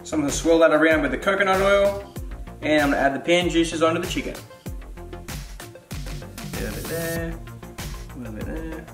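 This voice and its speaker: A man's voice: fairly high pitch, fairly quick, pauses often. Playful man giving cooking steps. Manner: playful, jokey banter while cooking. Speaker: cooking show co-host. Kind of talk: casual recipe instructions. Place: home kitchen. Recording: echoey kitchen with background music.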